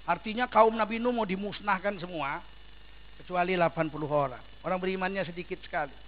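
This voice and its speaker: High-voiced emphatic male preacher, dull recording. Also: brisk, slight background noise. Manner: emphatic, fires rhetorical questions at the audience. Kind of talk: sermon. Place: large mosque.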